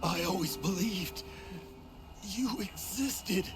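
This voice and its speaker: An actor playing a weary, guilt-ridden old drunk adventurer in a video game, brooding and melodramatic with halting delivery. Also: male voice, high-pitched, lively intonation. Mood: stunned, awed. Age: older. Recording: game dialogue under music.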